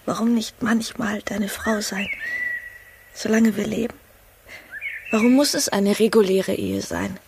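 A woman's voice: mid-range voice, fairly even intonation, a little slow, pauses often. Longing, secretly passionate married lady, noisy recording. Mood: tender. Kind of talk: German radio drama acting. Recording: clearly noisy sound with clicks.

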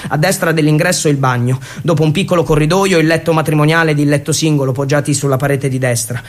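A man performing a theatrical narrative monologue for radio, with a dramatic, irreverent delivery and emphatic pauses. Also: a little high, fairly even intonation. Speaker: stage storyteller and actor.

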